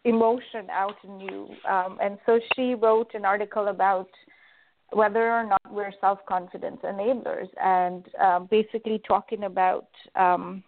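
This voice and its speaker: A woman from Kenya, a magazine editor and life coach, talking as an interview guest, earnest and eager, with frequent ums. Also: medium pitch. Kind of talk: conversational interview. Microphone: phone call. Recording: tinny phone line.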